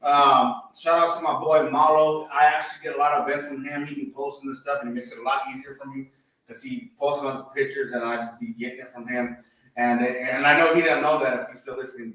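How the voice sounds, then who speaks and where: Man, podcast host, relaxed shout-outs, bright tone, very echoey, off-mic. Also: medium pitch, fairly quick, far from the mic. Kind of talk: casual live podcast chat.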